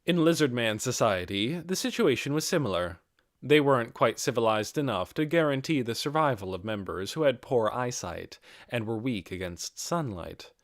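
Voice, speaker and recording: Guy reading fantasy audiobook, expressive storyteller, really varied pitch, dry booth-like sound. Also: dry recording. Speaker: audiobook narrator. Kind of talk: scripted fantasy story narration.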